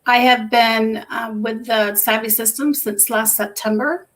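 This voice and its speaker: A woman in her fifties with a Georgia Southern accent introduces herself on a video call and laughs easily. Her voice sits a little high. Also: fairly even intonation, unhurried, fairly bright tone. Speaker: business owner guest.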